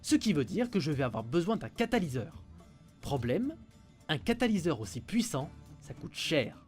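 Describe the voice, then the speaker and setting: A man's voice: on the high side, big pitch swings, normal speed, faint music behind. A man playing a knowledgeable, wry and hesitant mage in an amateur audio fiction. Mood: explaining, doubtful.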